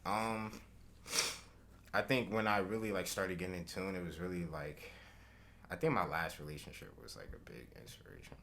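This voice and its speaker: A young man being interviewed as a recording artist, talking in a relaxed, slangy way and thinking aloud with fillers. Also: deep voice, moderate pace. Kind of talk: casual interview chat.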